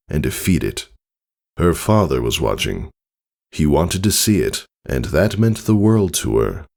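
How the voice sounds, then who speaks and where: A man reading a story as a dramatic narrator, in deliberate phrases with weighted pauses. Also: extremely low pitch, gravelly, a little mellow. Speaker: fan fiction reader, narrator.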